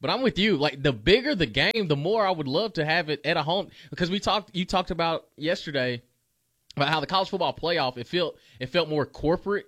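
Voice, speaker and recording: Male co-host, animated banter, lively pitch, dry booth sound. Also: brisk, no room sound. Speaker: sports radio co-host. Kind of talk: sports talk show.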